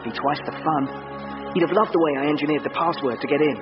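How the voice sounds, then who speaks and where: An actor playing a smug, boastful computer hacker in a radio drama monologue, conspiratorial in manner. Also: male voice, a little high, fast, close to an everyday voice. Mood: gleeful, boastful. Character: cocky, boastful male young computer hacker. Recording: dull sound under loud music.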